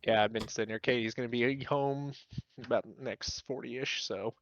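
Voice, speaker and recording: A man's voice: mid-range voice, fairly even intonation, moderate pace, a bit bright, dry recording, dull audio. A man chatting casually about games in a laid-back, slangy way, swearing often as he explains things. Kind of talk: casual gaming chat.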